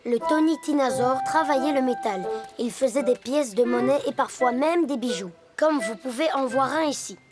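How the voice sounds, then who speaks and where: A woman plays a small boy hippo from a children's cartoon, an earnest know-it-all. The voice is high, with a solemn, lecturing way of talking. Music plays clearly behind it. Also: moderate pace, few pauses, natural voice. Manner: pedantic, solemn. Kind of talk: French children's cartoon acting.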